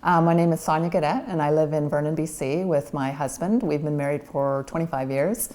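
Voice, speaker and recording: A woman's voice: deep voice, fairly even intonation, fairly quick, dark tone. A woman in her forties speaking candidly and reflectively in a documentary interview, with frequent ums. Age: 40s.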